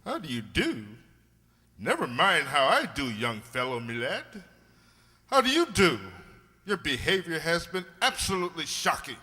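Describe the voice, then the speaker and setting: A man playing a blustering, indignant but kindly gentleman doctor in a radio drama, full of old-fashioned exclamations. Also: a little high, wildly swooping pitch, normal speed, full character voice. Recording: reverberant hall sound.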